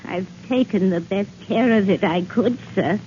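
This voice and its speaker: A woman's voice: mid-range voice, moderate pace, warm tone, close to an everyday voice. An actress playing a timid, apologetic old woman housekeeper in a 1940s radio drama. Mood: meek, flustered. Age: older. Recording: noisy, muffled old 1940s radio recording.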